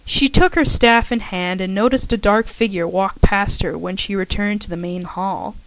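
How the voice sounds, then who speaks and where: A woman reading a story aloud at a middle pitch, careful and a little shaky. The sound is dry and close, with no room behind it. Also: moderate pace, dry recording, low-quality recording. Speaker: fanfiction audiobook reader.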